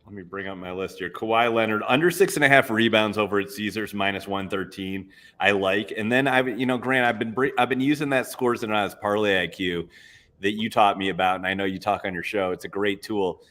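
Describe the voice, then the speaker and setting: A man's voice: on the low side, fairly quick, a bit bright. A man co-hosting a casual sports betting show, upbeat and eager as he talks through his picks. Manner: upbeat, enthusiastic. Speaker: co-host.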